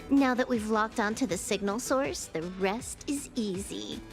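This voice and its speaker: A woman's voice: a little high, measured pace, soft background music. A woman playing a cocky, playful young hacker in a video game, nonchalant and teasing as she drawls. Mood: smug, confident. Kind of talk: scripted video game character acting.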